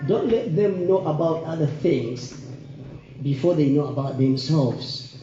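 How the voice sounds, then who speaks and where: A man's voice: on the high side, measured pace, warm tone, a little hiss or hum. A middle-aged Ugandan man, a native Luganda speaker, giving an earnest, opinionated informal speech to a gathering. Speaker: community event speaker. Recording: muffled, echoey room recording.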